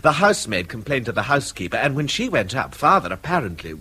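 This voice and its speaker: Husky, very animated English uncle. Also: male voice, mid-range voice, quick pace, exaggerated voice. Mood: apologetic, embarrassed. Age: middle-aged. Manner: hesitant, apologetic. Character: apologetic, well-off middle-aged uncle, ill at ease. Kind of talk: radio drama acting.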